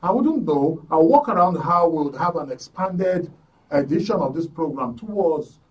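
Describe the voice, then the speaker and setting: A man with a Nigerian accent hosting a live radio talk show, speaking effusively in a halting, repetitive way. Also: fairly high pitch, animated, recorded from a distance, dull audio. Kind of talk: live broadcast sign-off, thanking guests.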